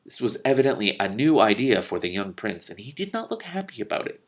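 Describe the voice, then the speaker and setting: A man reading a novel aloud expressively, with casual, animated commentary and salty asides. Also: medium pitch, brisk. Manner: animated, informal, swearing in asides. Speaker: reader and commentator of a fantasy novel.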